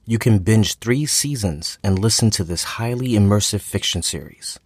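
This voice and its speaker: A man's voice: lowish voice, moderate pace, no echo. A man announcing a scripted trailer for a fiction podcast. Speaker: promo announcer.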